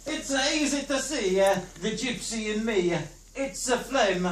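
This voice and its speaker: A woman's voice: a little high, unhurried, a little hiss or hum, natural voice. A woman reading plays aloud as an amateur actor, playful and hammy. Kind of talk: play reading, theatrical. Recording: roomy theatre from far off.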